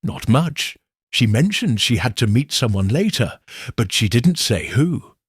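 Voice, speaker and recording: A man voicing a sharp young waitress in her twenties, eager to talk, in an audiobook. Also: mid-range voice, big pitch swings, a little slow, husky, no room sound, studio quality. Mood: eager, gossipy.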